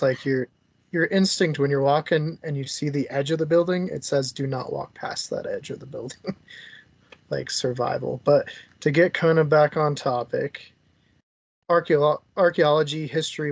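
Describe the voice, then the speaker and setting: A man's voice: fairly high pitch, fairly even intonation, normal speed, no echo. Casual male interviewer, dry close sound. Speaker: interview host. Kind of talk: casual chat.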